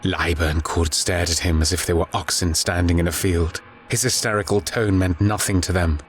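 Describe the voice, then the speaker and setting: A man narrating a scripted horror audiobook in a grave, measured storytelling manner. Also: sits low, fairly even intonation, a little hiss or hum.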